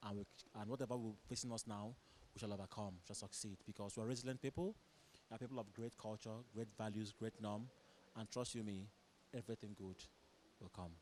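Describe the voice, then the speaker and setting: A man's voice: lowish voice, steady tone, brisk, a little mellow. A man with a Nigerian accent, a theatre director giving earnest interview answers in declarative, repeating phrases. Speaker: stage director and producer. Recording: clear background noise, event ambience.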